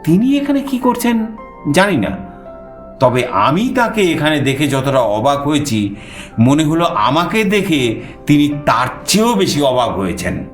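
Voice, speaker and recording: A man narrating a suspense story in the first person, theatrical, with dramatic pauses. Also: on the high side, big pitch swings. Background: music.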